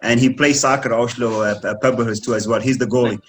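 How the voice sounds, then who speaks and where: Man in his 30s, polite guest, keeps saying yes sir, average pitch. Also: fairly even intonation, fast. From Kuwait. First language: Arabic. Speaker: guest, former runner and coach. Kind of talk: casual interview answers.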